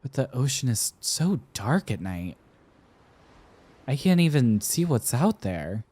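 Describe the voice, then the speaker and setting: A young man playing an affectionate, teasing sweetheart smitten with an old friend, speaking tenderly and haltingly in an intimate roleplay ASMR style. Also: medium pitch, sing-song, mellow, husky, no room sound, exaggerated voice. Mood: wistful. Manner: tender, playful, halting with pauses and repeats.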